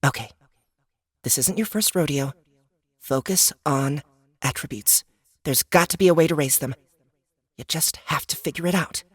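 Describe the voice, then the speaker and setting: Man voicing a frustrated young gamer woman, pitched up. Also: moderate pace, long pauses, breathy edge, no room sound, clean studio sound. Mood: determined. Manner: grunting, exasperated, self-talking. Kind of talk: fantasy audiobook character acting.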